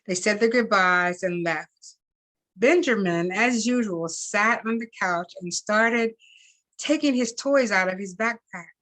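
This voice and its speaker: Slow, deliberate woman reading aloud. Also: mid-range voice, a bit bright. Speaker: reader and event host. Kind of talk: expressive read-aloud of fiction.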